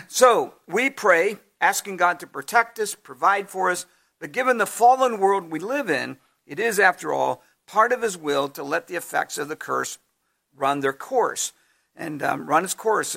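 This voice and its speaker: A man preaching a sermon at a measured pace, his voice going hoarse, clearing his throat now and then. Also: high-pitched, pushed and bright. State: losing his voice. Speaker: pastor.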